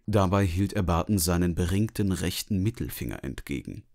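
Low male voice, narrator reading a novel, scripted storytelling. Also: lively intonation, moderate pace, darkish tone.

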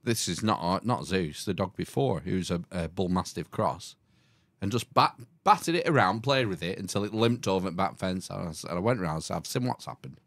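A man's voice: low-pitched, expressive, brisk, creaky, a bit bright, no room sound. A man telling a story in casual podcast banter, swearing freely. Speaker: podcast co-host.

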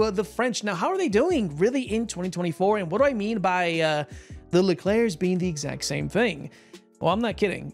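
Hoarse, chatty man with a higher voice. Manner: chatty, rambling, with frequent fillers and short laughs. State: hoarse, tired voice after a long stream. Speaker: gaming YouTuber. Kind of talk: casual gameplay commentary.

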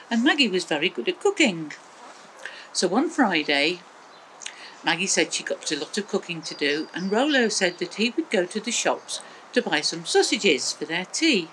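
A woman's voice: on the low side, a bit bright, slight background noise, poor audio. An older woman with an English accent tells a children's story expressively in simple phrases. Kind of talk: children's storytelling, talking to small listeners. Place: outdoors in a small back garden.

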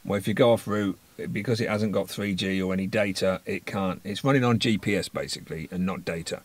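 A man's voice: low voice, brisk. A British man in his forties, a vlogger talking casually to camera in an emphatic, wry, explanatory way. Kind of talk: casual vlog chat.

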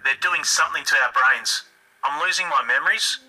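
An actor playing a trapped, frantic man who is losing his memories, pleading in a rushed audio log. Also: fairly high pitch, bright, forceful tone, faint music behind, low-quality recording. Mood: desperate.

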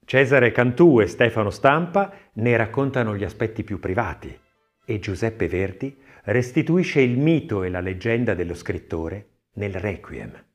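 A man reading a scripted literary text as an audiobook narrator, expressive and measured, with deliberate phrasing. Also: fairly low pitch, soft background music. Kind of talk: scripted audiobook narration of an art-history essay, with recited verse.

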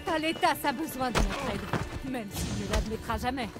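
A woman's voice: a little high, expressive, normal speed, natural voice. A woman voicing a proud, shrewd rebel leader in a video game, with an earnest, firm and persuasive delivery. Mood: steady. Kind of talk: video game voice acting in French. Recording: game dialogue over loud music.

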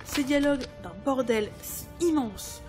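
A woman playing a sharp-tongued, sardonic book editor in an audio drama. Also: sits high, unhurried. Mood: scornful, exasperated. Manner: cutting, sardonic. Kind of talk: French audio drama acting. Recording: music behind the voice.

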